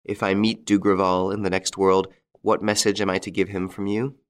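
A man narrating an audiobook and voicing a calm, mocking gentleman thief facing death. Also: deep voice, moderate pace, lightly acted. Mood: dry calm. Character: wry, unflappable gentleman thief, male adult.

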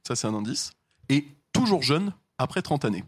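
A man's voice: mid-range voice, sing-song, moderate pace, breathy edge, no room sound. A man hosting a guessing game, counting down and handing out clues in a teasing way. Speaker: game show host.

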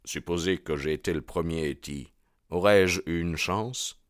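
Man acting a bold, low-voiced young suitor. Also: measured pace, no room sound, studio quality. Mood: lovesick. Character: bold, defiant, ardent young man, proud and fearless. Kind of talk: audiobook character dialogue.

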